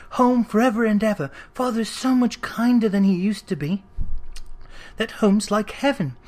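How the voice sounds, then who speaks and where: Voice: mid-range voice, darkish tone, pitched above the performer's own voice, lightly acted. A narrator voicing an affectionate, eager young girl in a classic storybook, full of glee. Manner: eager, breathless delight. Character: little Fan, gleeful affectionate young girl.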